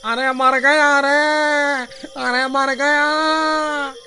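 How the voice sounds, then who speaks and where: High, plaintive young village man, played by a woman. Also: flat delivery, very slow, pushed and bright, a little hiss or hum, exaggerated voice. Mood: panicked, wailing. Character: distressed, whining young unmarried village man. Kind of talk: Hindi cartoon voice acting.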